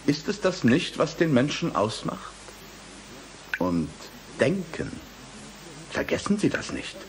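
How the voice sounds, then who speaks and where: A swooping, cynical, glib scientist. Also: male voice, on the high side, sing-song, heavily acted, poor audio. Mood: smug, condescending. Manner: brisk, dismissive, rhetorical questions. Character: glib, cynical male brain-bank scientist, condescending. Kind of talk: German radio drama acting.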